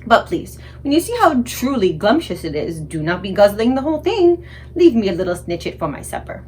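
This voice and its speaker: A woman's voice: mid-range voice, very animated, fairly quick, darkish tone, a little hiss or hum, close to an everyday voice. A woman voicing a kindly, plucky male giant who speaks earnestly in muddled grammar, in a storybook read-aloud. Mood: eager coaxing. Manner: earnest, garbled childlike grammar.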